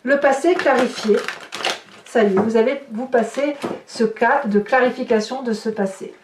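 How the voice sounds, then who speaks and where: A woman's voice: on the high side, moderate pace, noisy background. A woman improvising a tarot reading for her viewers, speaking expressively and addressing them directly. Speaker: tarot reader hosting a horoscope video. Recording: roomy echo, distant microphone.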